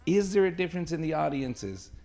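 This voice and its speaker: A man's voice: a little high, big pitch swings, a little fast, creaky, dark tone. Male podcast host, prompting questions. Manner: prompting, rephrases the guest's words. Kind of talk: casual interview.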